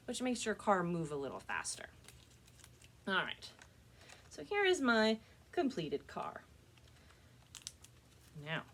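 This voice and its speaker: A woman's voice: mid-range voice, slight background noise. A woman presenting a step-by-step craft demonstration for children as a librarian, speaking to her young viewers in a friendly, direct way.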